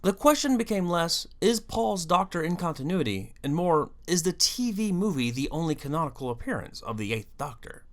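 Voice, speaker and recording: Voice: medium pitch, very animated, normal speed, hardly any pauses. A narrator hosting a scripted fan video essay, explaining things emphatically and theatrically, with wry asides.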